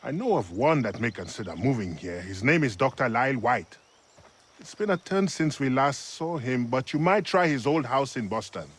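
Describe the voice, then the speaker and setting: An actor voicing a friendly, chatty married homesteader in a video game. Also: male voice, mid-range voice, lively intonation, normal speed, slight background noise, close to an everyday voice.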